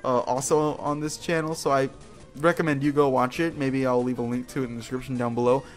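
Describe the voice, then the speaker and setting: A man's voice: medium pitch, steady tone, a little fast, soft background music. A man hosting a video game playthrough with casual, rambling, playful commentary, slangy and often repeating his phrases.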